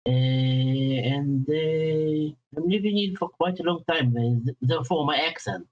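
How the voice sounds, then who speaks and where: A man in his late thirties with an Israeli accent, a guest speaking hesitantly with pauses over a video call. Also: fairly high pitch, dull audio. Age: 30s. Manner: hesitant, plain phrasing with pauses. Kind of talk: casual interview chat.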